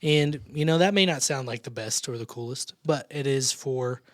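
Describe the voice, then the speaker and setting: A man's voice: medium pitch, moderate pace, no echo, studio quality. A man hosting a small church podcast in casual chat, laughing often and restarting his sentences. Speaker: podcast host.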